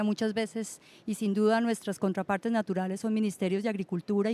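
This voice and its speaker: A woman's voice: medium pitch, a little mellow. A woman from an international agency speaking fluently at a conference panel and explaining her points.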